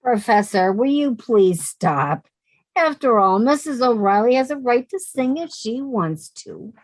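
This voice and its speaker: An exasperated, sensible young woman, played by a woman, with a fairly high, lively voice and a dry, sarcastic bite. The sound is dry and close, like an old radio play. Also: measured pace, no echo. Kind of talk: 1950s radio sitcom acting.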